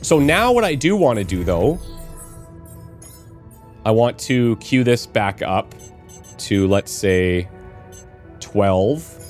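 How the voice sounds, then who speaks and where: A man in his thirties with a slight cold and a bit of rasp, musing aloud as he plans out his moves while commentating a game. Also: fairly low pitch, sing-song, takes it slowly, long pauses, a little mellow, faint music behind. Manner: musing aloud, planning with fillers. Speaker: let's play host. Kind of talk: casual gameplay commentary.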